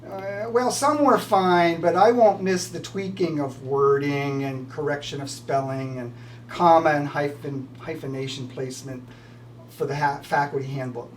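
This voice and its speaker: Older man, higher-pitched, distant mic. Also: fairly even intonation, a little slow. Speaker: retiring college professor. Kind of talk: prepared farewell remarks with a quiz. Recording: distant mic with slight background noise.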